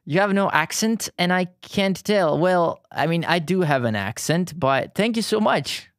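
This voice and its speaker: Southern Italian male streamer, thirties, halting friendly chat, dry close sound. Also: high-pitched, expressive, no room sound. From Italy. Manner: halting, frequent restarts and fillers, friendly. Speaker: art streamer. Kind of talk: casual live-stream chat while painting.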